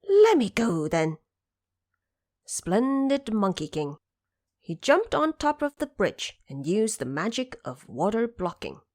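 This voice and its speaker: A woman's voice: mid-range voice, very animated, a little slow, darkish tone. A woman narrating a scripted story expressively, as the narrator of an audio drama.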